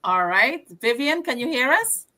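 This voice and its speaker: A woman hosting an online group call, briskly calling on each guest by name. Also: high-pitched, big pitch swings, bright, forceful tone. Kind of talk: casual video call hosting.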